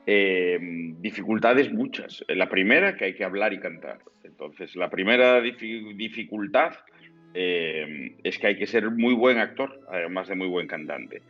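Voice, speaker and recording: A low-voiced man from talks as a singer guest in an interview. He explains with care, pausing to look for the right words. The audio is dull and lo-fi, with faint music behind him. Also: lively intonation. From Spain. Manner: thoughtful, explanatory, searching for words. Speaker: opera and zarzuela baritone guest. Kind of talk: interview conversation. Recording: muffled lo-fi audio.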